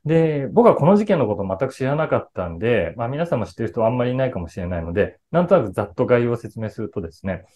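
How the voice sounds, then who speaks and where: Middle-aged male, casual explainer for an online fan audience; mellow timbre, animated pitch movement, searching for words as he goes. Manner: explanatory, conversational, with frequent pauses to search for words. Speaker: voice actor and actor talking to his fan club. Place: small indoor room with a patterned wall, video call.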